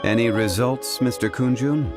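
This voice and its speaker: An actor playing a calm, wise, dignified man of learning in a video game, speaking formally and with measured care. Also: medium pitch, natural voice. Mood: composed, deliberate. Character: calm, wise, dignified male scholar of ancient lore. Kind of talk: video game character dialogue. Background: music.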